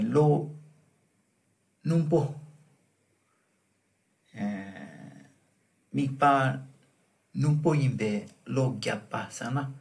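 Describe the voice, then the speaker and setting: A man teaches French words at a crawling pace, saying each one twice and spelling out examples. His voice has a gravelly, fry-tinged edge and sits in a middling register. The delivery is patient and deliberate, like a recorded classroom lesson. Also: medium pitch. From Tibet. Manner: slow and deliberate, repeating each word and example. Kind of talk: vocabulary lesson explained in Tibetan.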